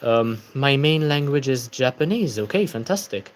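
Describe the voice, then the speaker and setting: A man's voice: medium pitch, animated, normal speed. A tired young man hosting a casual book-channel livestream, chatting and answering viewer comments.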